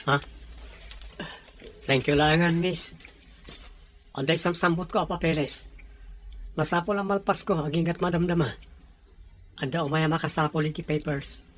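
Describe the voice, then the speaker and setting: A man's voice: fairly high pitch, fairly even intonation, normal speed, long pauses, a bit bright, a little hiss or hum, lightly acted. A man playing a weary dutiful son. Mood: busy. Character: weary middle-aged son, jobless, dutiful.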